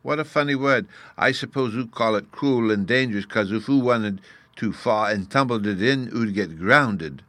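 A small, pouting fairy boy, as a male reader puts him on lisps "oo" for "you". The voice is lifted high above a low natural register and delivered with petulant, lively energy. It is a storybook reading in a dry, close sound. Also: normal speed, no echo, close to an everyday voice. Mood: impatient. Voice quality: childish lisp, says "oo" for you.

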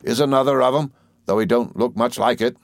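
A man's voice: medium pitch, brisk, dry recording, clean studio sound, pitched above the performer's own voice, close to an everyday voice. Man acting a hearty rustic old fisherman. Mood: fond. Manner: exclamatory, admiring, folksy. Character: hearty, fond, rustic older English fisherman.

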